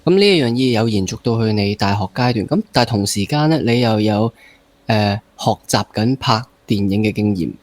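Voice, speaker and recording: A man's voice: on the low side, fairly quick. A man with a Hong Kong Cantonese accent hosting a casual interview, with a joking, teasing way of talking. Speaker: interview show host.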